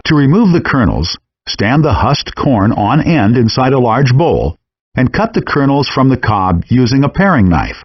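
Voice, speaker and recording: The husky man's voice from a handheld cooking game's tutorial, walking you through each step with animated intonation out of a small, muffled speaker. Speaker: instructional narrator of a cooking game. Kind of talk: scripted instructional narration. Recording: dull, compressed low-fidelity game audio.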